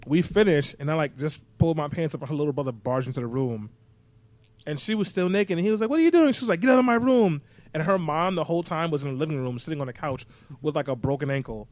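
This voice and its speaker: Fast-talking candid young man. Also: fairly high pitch, darkish tone, dry recording. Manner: candid, storytelling, swears freely. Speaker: podcast co-host. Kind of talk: casual chat.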